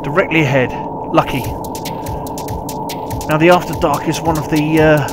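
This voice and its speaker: Higher-voiced British man, game commentary. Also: steady tone, moderate pace. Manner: informal, mutters and repeats himself while playing. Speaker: gameplay commentator. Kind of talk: casual live video game commentary. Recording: game music behind the voice.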